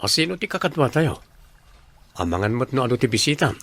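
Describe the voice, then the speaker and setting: A man's voice: mid-range voice, sing-song, brisk, fairly bright tone. An actor playing a casual young male friend in an Ilocano radio drama, speaking with an Ilocano accent. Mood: casual, curious.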